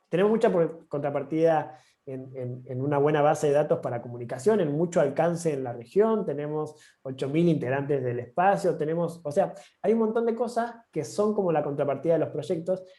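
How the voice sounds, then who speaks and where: A man's voice: fairly high pitch, mellow. A man with an Argentine accent giving an online training session, talking informally with frequent restarts. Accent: Argentine Spanish. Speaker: course instructor.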